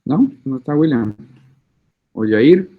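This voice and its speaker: A man's voice: mid-range voice, animated, slow. A man teaches a university class over a video call, chatty and a little teasing. His voice sits in an ordinary middle range. The audio is narrow and tinny, like a phone line. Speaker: university lecturer. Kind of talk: online class roll call and lecture.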